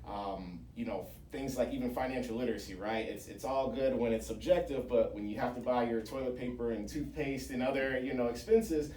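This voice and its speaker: A man's voice: medium pitch, fairly even intonation, fairly quick, hardly any pauses, warm tone. A man speaking conversationally and in a businesslike way as a radio interview guest, explaining his organisation's programmes. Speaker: interview guest, founder of a job-training organisation. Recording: roomy, distant mic, faint noise.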